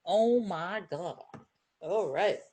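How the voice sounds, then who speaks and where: A woman reading a picture book aloud to young children, expressive and encouraging, with chatty asides and questions to her listeners. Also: on the low side, very slow, slightly rough, no room sound. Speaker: children's storybook reader.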